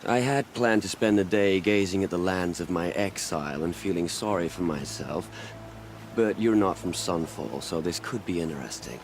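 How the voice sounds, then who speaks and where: A man's voice: sits low, normal speed, slight background noise, natural voice. A man playing a haughty, eloquent exiled healer in a video game, dry and sardonic in his wordy delivery. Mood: wry, intrigued. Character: cynical, self-pitying exiled male healer, haughty and eloquent.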